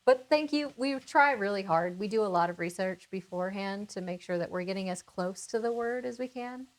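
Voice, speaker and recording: American woman in relaxed casual chat. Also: medium pitch, brisk. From Minnesota, United States. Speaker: cooking channel host. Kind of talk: casual chat answering viewer questions.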